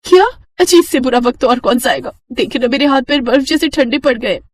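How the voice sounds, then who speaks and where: A woman's voice: high voice, quick pace, no room sound. A woman voicing a young city-bred new bride in a cartoon moral story, plaintive and whiny. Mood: whining, alarmed. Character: young city-bred new daughter-in-law, shivering and complaining.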